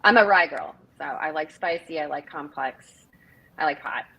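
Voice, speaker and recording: Chatty middle-aged woman, low voice. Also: expressive, normal speed, creaky, slightly rough, dull audio. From northern Minnesota. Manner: chatty, rambling with fillers. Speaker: festival director, guest. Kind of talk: casual interview chat.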